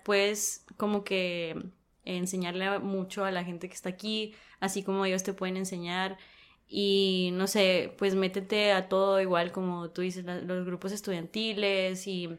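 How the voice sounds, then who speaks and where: A woman's voice: medium pitch, level tone, measured pace, fairly bright tone, clean tone. A young woman, a university student, chatting casually as an interview guest, laughing often and using many fillers. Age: young adult. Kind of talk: casual chat.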